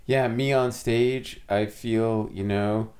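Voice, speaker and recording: A man's voice: fairly low pitch, steady tone, darkish tone. Playful, jokey male podcast host. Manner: playful, jokey, quick back-channels.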